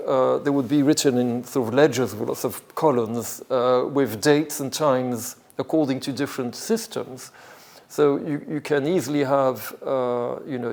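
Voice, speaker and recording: Man lecturing haltingly, with heavily creaky voice. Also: medium pitch, darkish tone. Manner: hesitant, halting, thinking aloud. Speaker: academic lecturer. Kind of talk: academic talk with extempore explanation.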